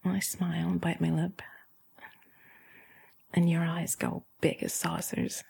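A woman with a breathy, often whispered voice, softly and haltingly narrating an intimate first-person erotic roleplay straight to the listener. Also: on the low side, flat delivery. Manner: soft, halting, confiding delivery. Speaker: audio erotica performer.

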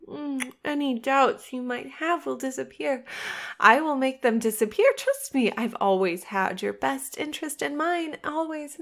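A young woman playing an obsessive, possessive lover in an intimate audio roleplay, giggly and coaxing, often dropping to a whisper. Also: high-pitched.

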